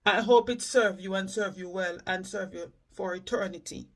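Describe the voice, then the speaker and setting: A man's voice: sits high, normal speed. A man from the Caribbean hosting a reaction channel, giving indignant, emphatic commentary.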